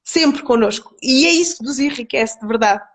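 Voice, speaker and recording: A woman with a Coimbra Portuguese accent tinged with Porto and Terceira, speaking thoughtfully as an interview guest, with many pauses and repeated words. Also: high voice. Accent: Coimbra Portuguese mixed with Porto and Terceira. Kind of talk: reflective conversational interview.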